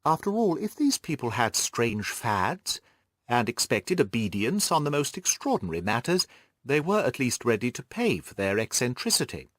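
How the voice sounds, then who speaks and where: Man voicing an earnest, animated young governess. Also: mid-range voice, moderate pace, no echo, clean studio sound. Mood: earnest, reflective. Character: earnest, anxious young Victorian governess telling her story.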